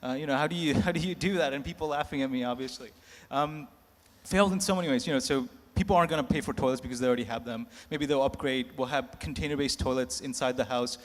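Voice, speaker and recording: A man's voice: medium pitch, fast, few pauses. Casual guy, 30s, laughs at himself while telling stories, big echoey conference room. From United States. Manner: candid, self-mocking, laughs between lines. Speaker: panelist. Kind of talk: candid anecdotal storytelling. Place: large conference hall. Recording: echoey hall reverb.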